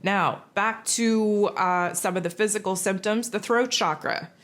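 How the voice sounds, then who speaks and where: A raspy, hoarse woman hosting a spiritual forecast, talking in a loose, rambling casual monologue. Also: mid-range voice, measured pace, a bit bright. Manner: rambling, loose, with many fillers and restarts. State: hoarse from losing her voice.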